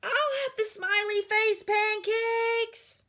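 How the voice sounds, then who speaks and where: A woman voicing an exuberant young schoolgirl who talks far too loudly in a storybook. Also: very high voice, steady tone, slow, voice pushed up, exaggerated voice. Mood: excited, loud. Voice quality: loud, shouty.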